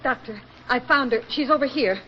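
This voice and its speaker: An actress playing an earnest, emotional hospital attendant, dramatic in 1940s radio style. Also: female voice, sits high, normal speed, lightly acted. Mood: urgent. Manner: urgent, dramatic. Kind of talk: 1940s radio melodrama acting. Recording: dull 1940s radio recording, faint noise.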